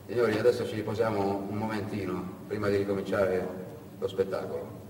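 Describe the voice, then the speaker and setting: A man's voice: lowish voice, flat delivery, brisk, darkish tone, pitched below the performer's own voice, lightly acted. A man voicing a brash, bossy truck-driver smuggler in a theatrical monologue, insistent and cajoling. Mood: tired. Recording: echoey hall, far from mic, noisy.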